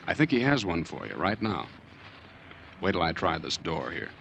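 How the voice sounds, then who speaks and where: Wry army sergeant host, played by a man: deep, folksy, conversational, on noisy vintage radio. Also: very animated, normal speed, creaky, heavily acted. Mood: casual, wry. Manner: conversational, wry, hesitant musing. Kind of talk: 1940s radio host introduction. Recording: noisy 1940s radio recording.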